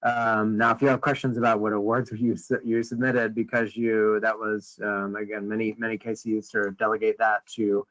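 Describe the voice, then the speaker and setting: A man leading a conference call, briefing informally in a hesitant, halting way and often rephrasing mid-sentence. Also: fairly low pitch, flat delivery, a bit bright. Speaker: meeting leader on a conference call. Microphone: conference call line. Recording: dull, lo-fi conference call audio.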